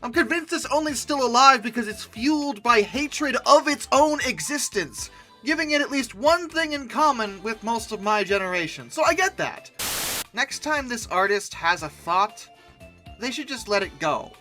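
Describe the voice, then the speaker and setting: A comedy commentator with a very animated, bright delivery. The host reacts with sarcasm and theatrical exasperation over a soft music bed. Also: medium pitch, normal speed. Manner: theatrical mock outrage, sarcastic. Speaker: YouTube host reacting to images.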